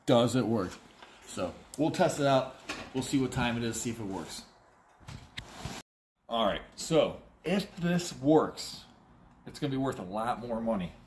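A middle-aged man hosts a casual unboxing in a cluttered workshop, thinking aloud as he goes. His voice sits at an average pitch and he is playful, readily exclaiming. The handheld phone recording is noisy, with clicks from handling. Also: lively intonation, measured pace, pauses often. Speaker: auctioneer and host. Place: large cluttered indoor workshop or warehouse. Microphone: handheld phone camera a step or two away.

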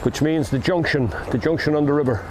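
A man's voice: on the high side, fairly quick. Older Irish man talking on a riverbank. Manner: relaxed, explaining, with ums and asides. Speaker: fly fishing vlogger. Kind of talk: casual outdoor how-to talk. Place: riverbank outdoors in wind. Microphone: camera on the bank, speaker at a distance. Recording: outdoor recording with river and wind noise. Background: flowing river and wind.

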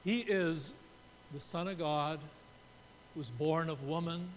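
A man in his sixties from Canada, preaching a sermon from a pulpit and explaining his points while gesturing. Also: takes it slowly. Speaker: pastor. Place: church sanctuary. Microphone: pulpit mic. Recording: reverberant church room, faint rumble.

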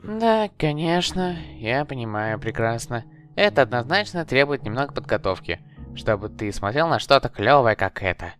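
A boastful yet earnest skeleton performed by a man in a comic dub. He declaims grandly with big swings of intonation around a middling pitch. Soft music sits underneath. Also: sing-song, moderate pace. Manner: pompous, grandiose, formal phrasing. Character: boastful, naive, earnest male skeleton brother, theatrically proud. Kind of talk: theatrical comic dub voice acting.

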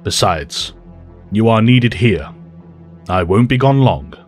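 A calm formal telepath professor. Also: male voice, lowish voice, soft background music. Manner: formal, measured.

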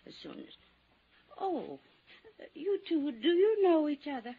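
An actress playing a genteel, scatterbrained old widow in a 1950s radio drama, fluttery and trailing off. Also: female voice, high voice, very animated, unhurried, full character voice. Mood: flustered, gracious. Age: older. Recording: dull, faintly noisy old radio recording.

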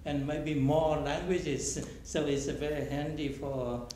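Soft-spoken priest interviewer, roomy sound. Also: male voice, fairly high pitch, steady tone, a little slow, slight background noise. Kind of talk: interview questions. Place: small office room indoors.

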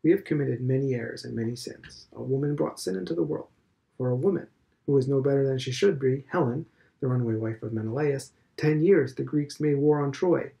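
A man voicing a stern, preachy elderly headmaster who lectures with slow, heavy emphasis. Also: medium pitch, creaky, warm tone, lightly acted, poor audio. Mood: lecturing. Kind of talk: audiobook character reading.

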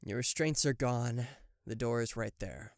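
A man's voice: mid-range voice, unhurried, noisy background, natural voice. A man voicing a possessive, smug TV-headed demon CEO in a theatrical, condescending yandere roleplay spoken to the listener. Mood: indignant. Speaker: voice actor.

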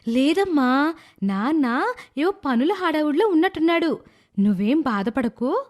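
A man voicing a gentle, protective young mother in a storybook tale, speaking in a soft, pleading falsetto. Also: a little fast. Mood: soothing.

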